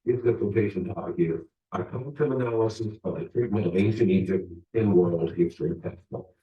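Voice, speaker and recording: A man's voice: lowish voice, a little fast, distant mic. A man reporting in a broadcast narration style, heard from an archive recording. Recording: dull, echoey archive broadcast.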